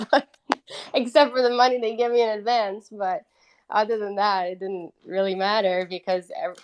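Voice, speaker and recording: A woman in her twenties from Italy, a native Italian speaker, casually telling stories as an interview guest in a chatty, candid way. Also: mid-range voice. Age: 20s. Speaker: interview guest, independent musician. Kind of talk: casual personal storytelling.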